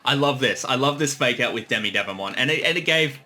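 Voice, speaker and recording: A man with a mid-pitched, bright voice gives casual commentary as a series creator. His intonation is lively and moves around a lot. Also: quick pace, faint music behind.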